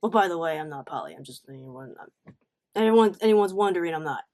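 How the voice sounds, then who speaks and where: A woman talks casually, as if telling a long story to friends online. She is blunt and slangy, often breaking off for asides. Her delivery is animated and conversational. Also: low voice, fairly quick. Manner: animated, blunt, swearing, acts out quotes. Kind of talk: casual story time vlog.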